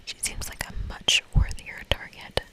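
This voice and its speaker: A woman's voice: moderate pace, natural voice. A woman voicing an irritable, scheming young female monster from a video game, snappy and melodramatic, all in a whisper. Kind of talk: whispered video game dialogue read aloud.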